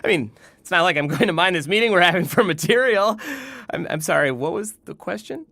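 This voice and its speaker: An actor playing a nervous, rambling copywriter and would-be comedian in an audio comedy, with a jittery delivery. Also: male voice, high-pitched, animated, a little fast, gravelly, bright, forceful tone, dry recording, full character voice.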